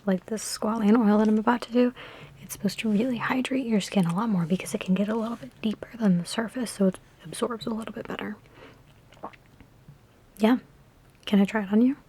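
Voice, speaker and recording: A woman in an ASMR roleplay speaking in a soft, breathy whisper mixed with hushed talk, with a sassy, teasing, chatty big-sister manner, close to the microphone. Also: mid-range voice. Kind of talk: ASMR personal attention roleplay.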